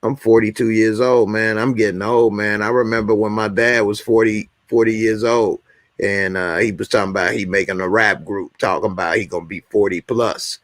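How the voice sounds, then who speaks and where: Joking man in his forties, low voice. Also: steady tone, normal speed, no echo. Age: 40s. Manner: joking, repeats lines for emphasis. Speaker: YouTube show host. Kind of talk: casual chat to viewers.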